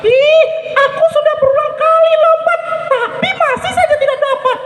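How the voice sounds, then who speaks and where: Tiny, extremely squeaky eager turtle. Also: female voice, fairly even intonation, normal speed. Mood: determined, pleading. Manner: exclamatory, chatty, wide-eyed. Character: eager, cheerful, determined little turtle. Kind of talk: live children's storytelling character voice. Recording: echoey hall, clear background noise.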